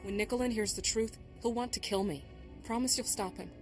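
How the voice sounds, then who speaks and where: A woman's voice: a little high, fairly even intonation, a little fast, lightly acted. A woman playing a troubled resistance fighter turned informant in a video game, grave, guarded and intense. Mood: anguished. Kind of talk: scripted video game acting. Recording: faint game music behind the voice.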